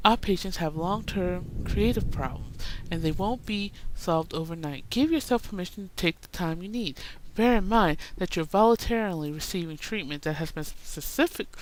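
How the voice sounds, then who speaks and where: A woman streaming a video game, commenting casually and reading game text out loud. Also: on the low side, moderate pace, hardly any pauses. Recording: noisy stream capture with clicks.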